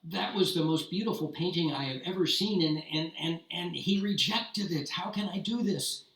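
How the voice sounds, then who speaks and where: A man's voice: a little high, moderate pace, a bit bright, voice pushed up. A man voicing a young, hot-headed apprentice painter who speaks in an indignant, outspoken way. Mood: frustrated. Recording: roomy, distant mic.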